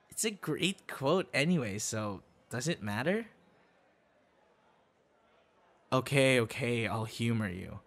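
A man acting a tender, secretive young man on a date in an ASMR boyfriend roleplay, easily flustered. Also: medium pitch. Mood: evasive. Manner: slow, intimate, with halting stammers when flustered.

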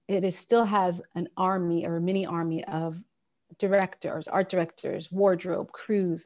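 Woman in her thirties from Sudan, low and gravelly, giving a casual talk over a video call. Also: dark tone. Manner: conversational, many fillers and restarts. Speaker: guest speaker. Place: home office. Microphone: wired earphones on a video call.

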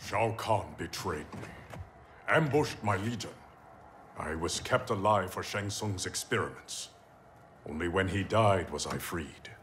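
Deep, regal warrior emperor. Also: male voice, animated, a little slow, fairly bright tone, slight background noise. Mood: grave, resolute. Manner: formal, stately, commanding. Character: proud, regal male warrior emperor of an otherworld realm. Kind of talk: dramatic video game cutscene acting. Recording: music behind the voice.

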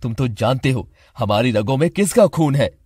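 A man's voice: mid-range voice, expressive, normal speed, darkish tone, breathy edge, no room sound, studio quality. Man voicing a grave, proud king. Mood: grave, concerned. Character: grave, proud middle-aged king and father. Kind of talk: Hindi cartoon voice acting.

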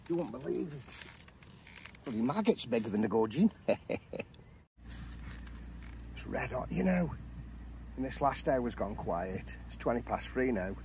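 A middle-aged English man speaks to a camera set close by on a lakeside bank, outdoors. His voice is gravelly and unhurried, and he exclaims and chuckles as he chats about the fishing. Clear outdoor noise runs under the recording. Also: medium pitch. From England. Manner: chatty, excitable, exclaims and chuckles often. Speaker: angler vlogger. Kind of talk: casual fishing commentary to camera.

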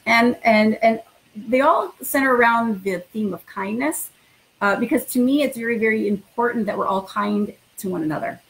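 A woman's voice: fairly high pitch, a bit bright, low-quality recording. A woman in middle age, a school principal, talking informally and reading a picture book to children in an encouraging way. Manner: encouraging, addresses children directly.

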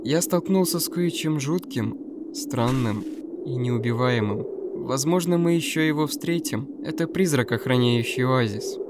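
A man voicing a seasoned, world-weary stalker guide in an animated web series. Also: medium pitch, measured pace, background noise, close to an everyday voice. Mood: reflective.

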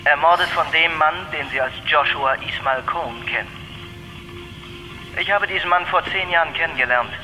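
An actor playing a grave informant giving a measured, deliberate monologue on a dictaphone tape in a German radio crime drama. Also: male voice, on the high side, sharp tone, soft background music, low-quality recording. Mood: grave, accusing. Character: grave male informant leaving a posthumous taped confession. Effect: dictaphone tape recording.

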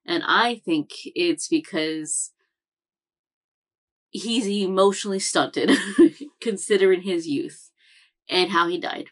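A woman's voice: lowish voice, takes it slowly, pauses often. A young woman hosting an anime reaction channel, rambling casually from a room at home, with lots of fillers and self-corrections. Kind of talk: casual chat recap.

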